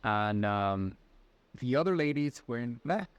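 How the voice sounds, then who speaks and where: A man's voice: medium pitch, expressive, measured pace, clear, resonant tone, no echo, muffled recording. Ecuadorian man in his twenties, friendly. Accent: Latin American Spanish. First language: Spanish. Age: 20s. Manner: friendly, hesitant, many fillers and restarts. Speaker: interview guest. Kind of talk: casual conversational answers.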